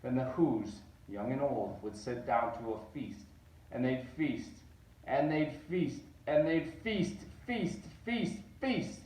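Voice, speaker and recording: A man reading a picture book aloud, animated and rising on repeated words. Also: medium pitch, slow pace. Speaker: reader. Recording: roomy echo, distant mic.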